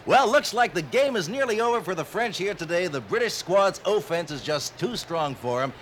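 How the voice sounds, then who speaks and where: A man playing an excitable TV sportscaster who gives brash, booming play-by-play. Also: moderate pace, a little hiss or hum. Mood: excited. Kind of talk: mock sports commentary.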